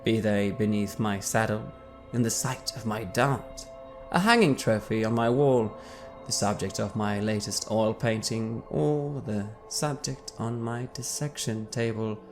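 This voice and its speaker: A man reading his tabletop character's backstory aloud in the first person with a measured storytelling cadence. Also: lowish voice. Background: faint background music.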